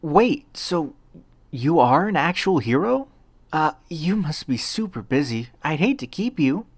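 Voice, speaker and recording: Frail, confused young woman played by a male reader, soft, hesitant, polite, slightly high, audiobook dialogue. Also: very animated, measured pace, husky, no room sound, full character voice. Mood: curious, polite. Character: weak, grateful, confused young woman with amnesia.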